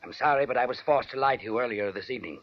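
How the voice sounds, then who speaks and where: A man's voice: medium pitch, very fast, close to an everyday voice. An actor playing a stern, curt, domineering rich old man in a 1940s radio drama. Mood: stern, dismissive. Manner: curt, imperious. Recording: muffled vintage radio broadcast.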